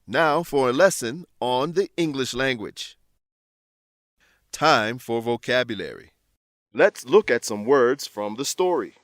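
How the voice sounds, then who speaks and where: A man's voice: medium pitch, a bit bright, no echo, clean studio sound. A man hosting an English lesson, reading scripted educational narration. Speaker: host and English teacher.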